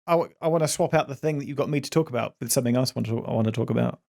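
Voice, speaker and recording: A man's voice: mid-range voice, rapid-fire, vocal fry, a little mellow. A man co-hosting a podcast in casual banter. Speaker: podcast co-host.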